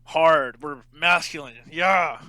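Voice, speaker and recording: A man's voice: fairly high pitch, slow, crowd noise. A man co-hosting a podcast, chatting casually in a joking, sarcastic way. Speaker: podcast co-host. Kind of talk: casual chat.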